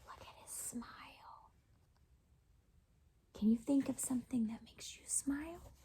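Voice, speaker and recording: A woman with a high, creaky voice reads a picture book to children. She goes slowly and expressively, with hushed asides to her young listeners. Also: in a whisper. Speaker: children's storyteller.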